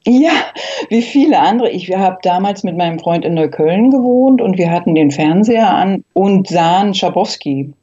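Female interviewee, fifties, from Berlin. Warm, relaxed timbre, reflective recollection, somewhat dull sound. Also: fairly low pitch, moderate pace, breathy edge. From Berlin, East Germany. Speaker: interview guest, eyewitness.

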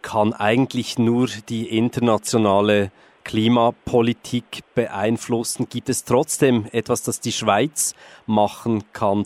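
A man asking a radio interviewer's questions in a measured way. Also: lowish voice, a little mellow, no room sound.

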